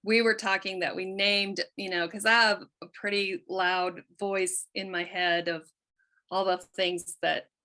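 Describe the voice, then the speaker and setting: A woman's voice: medium pitch, level tone, a little slow, bright, forceful tone. A middle-aged woman talking as a podcast guest in a casual conversation, chatty and candid, often trailing off mid-thought. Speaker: guest, quilt artist.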